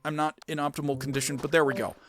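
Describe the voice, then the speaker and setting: Casting: casual male gamer commentating on his own play, informal, with clear music behind him. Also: fairly high pitch, level tone, rapid-fire. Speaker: gamer and amateur voice actor.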